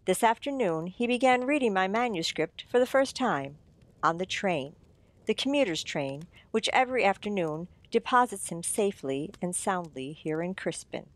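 A woman playing a suave mystery writer in a radio drama, telling the tale in a deliberate, teasing, theatrical manner. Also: mid-range voice, expressive, close to an everyday voice. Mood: dramatic storytelling. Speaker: audio drama actor. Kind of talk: old-time radio mystery narration.

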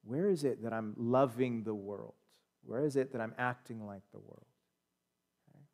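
A man in his thirties with an American accent, preaching a sermon in a church sanctuary on a headset mic, swinging from loud emphatic peaks to quiet asides. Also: mid-range voice, moderate pace. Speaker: pastor. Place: church sanctuary, standing at a pulpit.

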